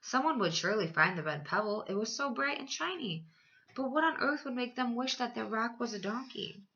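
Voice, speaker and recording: A woman teacher reading a children's storybook aloud with expressive, emphatic phrasing. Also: fairly high pitch, fairly quick, muffled recording.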